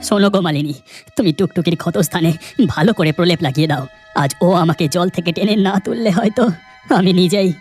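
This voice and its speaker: A woman voicing an excitable, devoted village fisherman in a cartoon story, exclaiming urgently and pleadingly. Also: on the low side, fairly quick, soft background music, pitched below the performer's own voice, close to an everyday voice. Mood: shaken.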